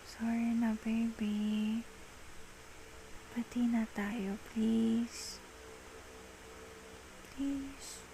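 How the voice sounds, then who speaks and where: A woman playing an affectionate, reassuring girlfriend in an ASMR roleplay, with a Filipino accent and soft, breathy speech that slips into whispers. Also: fairly high pitch, flat delivery, a little hiss or hum, rough recording. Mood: playful, coaxing. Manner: slow, tender, mixes Tagalog with English. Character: affectionate, patient, reassuring young girlfriend soothing a partner.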